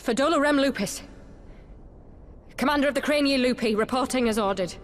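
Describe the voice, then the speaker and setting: A woman's voice: sits high, fairly quick, close to an everyday voice. A woman playing a proud, grimly dutiful military commander in a video game, formal and submissive toward her superior. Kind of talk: video game cutscene acting.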